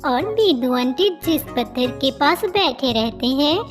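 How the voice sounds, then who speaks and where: A woman's voice: sits high, expressive, a little slow, faint music behind. A woman voicing a sweet, innocent, curious young female bird in a children's cartoon story, speaking politely and simply.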